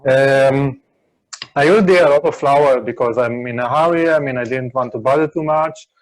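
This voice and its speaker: Halting man chatting while cooking. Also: mid-range voice, low-quality recording. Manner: halting, many restarts and "I mean". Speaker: chef and Berlin food tour guide. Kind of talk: casual cooking chat.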